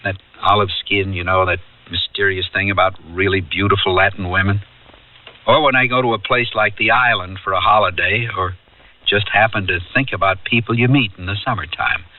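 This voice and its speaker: Actor as a wistful man reminiscing, low voice, narrow band. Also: steady tone, moderate pace, far from the mic, lightly acted. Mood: wistful, reflective. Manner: reflective, conversational storytelling. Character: wistful ordinary man recalling a youthful summer holiday. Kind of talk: 1970s radio drama first-person narration. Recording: muffled radio drama tape, music behind.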